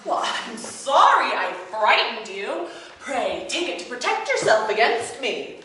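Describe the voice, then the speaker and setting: An actress playing a proud, romantic, high-spirited young lady in a live stage comedy, theatrical, exclamatory and teasing. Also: sing-song, measured pace, bright, forceful tone, exaggerated voice. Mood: urgent, gracious. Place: indoor stage in an arts center, recorded from afar. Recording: distant, very echoey theater recording, faint noise.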